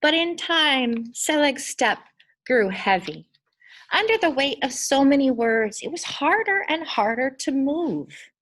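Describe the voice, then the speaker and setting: A woman reading a children's story expressively, with deliberate, dramatic emphasis on her words. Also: sits high, lively intonation, a bit bright.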